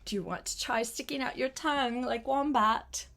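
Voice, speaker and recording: An older woman reading a picture book aloud to a small child, gentle and coaxing, with chatty asides spoken straight to the child. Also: on the high side, creaky. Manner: gentle, unhurried, coaxing, addresses the child directly.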